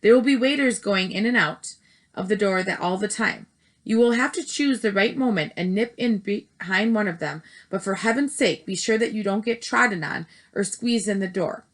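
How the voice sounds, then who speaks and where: A woman's voice: medium pitch, normal speed, voice pushed up, lightly acted. A woman voicing a loving, commanding older grandmother in a storybook read-aloud, speaking emphatically. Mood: urging.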